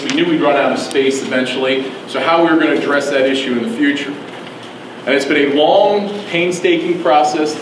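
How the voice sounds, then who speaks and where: A man speaking as a county commissioner at a press conference, halting with many fillers. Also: mid-range voice, recorded from a distance. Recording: echoey room, mic from afar, noisy.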